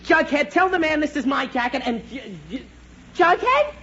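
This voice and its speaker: Excitable, high-pitched teenage boy. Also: moderate pace, full character voice. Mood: pleading, flustered. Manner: stammering, pleading, exclaiming. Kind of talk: 1940s radio comedy acting. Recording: muffled, noisy 1940s radio recording.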